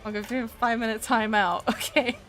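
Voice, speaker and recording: A chatty woman in her twenties whose accent mixes Scottish and Canadian, talking casually while she draws on a live stream. She laughs often and answers her viewers out loud. Faint music plays behind her. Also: a little high, fairly even intonation, a little fast. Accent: mixed Scottish and Canadian. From Scotland. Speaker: art streamer.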